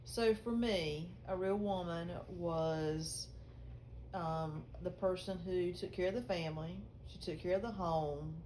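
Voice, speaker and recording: A woman in her fifties answers interview questions in a slightly low voice. She is from North Carolina, and there is faint noise behind her. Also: slow pace, dark tone, poor audio. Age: 50s.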